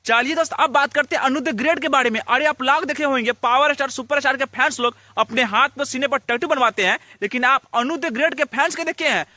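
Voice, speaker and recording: A man's voice: very high voice, fairly quick, few pauses, bright, forceful tone. A man with a Bhojpuri accent hosting a celebrity gossip video in teasing, exclamatory scripted comic narration. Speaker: host of a Bhojpuri entertainment gossip video channel.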